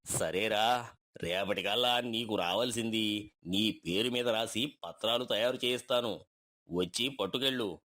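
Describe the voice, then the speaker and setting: A man voicing a domineering older village uncle with a gruff, condescending manner in a story. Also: lowish voice, a little slow, pushed and bright, pitched below the performer's own voice. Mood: grudging.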